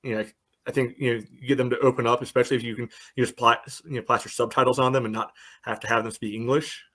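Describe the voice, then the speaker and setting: A man's voice: mid-range voice, fairly even intonation, quick pace, fairly bright tone. Casual man talking soccer, heavy on "you know". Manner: says "you know" constantly as filler. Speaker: podcast co-host and soccer fan pundit. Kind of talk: casual sports podcast banter and analysis.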